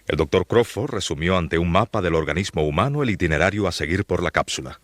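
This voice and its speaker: A man's voice: low voice, animated, quick pace, dry recording. A man narrating a scripted radio drama in a formal storytelling manner.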